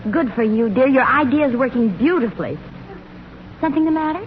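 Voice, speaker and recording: High-voiced radio-sitcom housewife. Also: female voice, expressive, fairly quick, a little hiss or hum. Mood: sweet, attentive. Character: sweet, supportive housewife and mother. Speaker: mother in a family sitcom. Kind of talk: 1940s radio comedy acting. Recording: muffled old radio recording.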